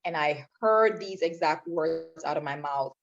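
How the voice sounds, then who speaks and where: A woman's voice: deep voice, measured pace, creaky. A woman from Jamaica, a podcast guest telling her own story in an earnest, reflective way. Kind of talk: personal testimony in interview. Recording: dull lo-fi sound.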